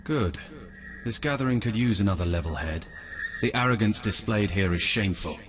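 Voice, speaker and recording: An actor playing a level-headed, principled god in a video game cutscene, speaking in a measured, reproving way. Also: male voice, lowish voice, expressive, close to an everyday voice. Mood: reproachful.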